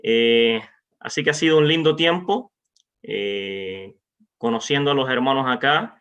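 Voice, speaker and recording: Guy in his 40s talking to a church group online, bright forceful voice, stops and starts, muffled lofi audio. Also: medium pitch, smooth. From Cuba. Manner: halting, with frequent pauses. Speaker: visiting church preacher. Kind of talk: informal testimony and greeting to a congregation. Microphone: remote online meeting microphone. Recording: dull, lo-fi online meeting audio.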